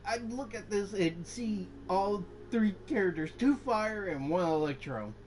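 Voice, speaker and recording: A man's voice: high-pitched, animated, unhurried, a little hiss or hum. A young man giving casual, rambling, off-the-cuff commentary while he plays a video game. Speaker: gaming video host. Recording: loud game music behind voice. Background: game music.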